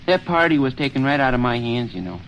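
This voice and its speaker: An actor playing an eager schoolboy son who argues in an earnest, folksy, persuasive way in a 1930s radio comedy. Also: male voice, mid-range voice, expressive, fast talker, creaky, a bit bright, faint music behind. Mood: aggrieved. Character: eager schoolboy son scheming to host a party. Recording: noisy 1930s radio recording.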